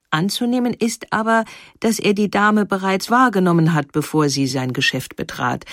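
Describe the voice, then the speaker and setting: A woman narrator reading a scripted documentary story in a measured, explanatory way. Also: on the low side, no echo, clean studio sound.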